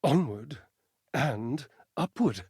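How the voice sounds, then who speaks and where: A male actor voicing a vain, lovesick washing machine in a video game, grandiose, flirtatious and petulant, with theatrical pauses. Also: medium pitch, animated, darkish tone, husky, no echo, studio quality, full character voice. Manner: grandiose, flirtatious, melodramatic delivery with dramatic pauses. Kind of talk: video game character voice acting.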